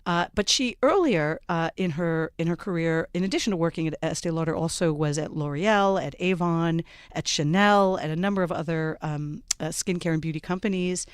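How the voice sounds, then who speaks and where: A woman hosting a radio panel show, introducing her guests in a measured way with plenty of ums and uhs. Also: on the low side, clean tone, no echo, studio quality. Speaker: radio talk show host. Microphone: studio microphone.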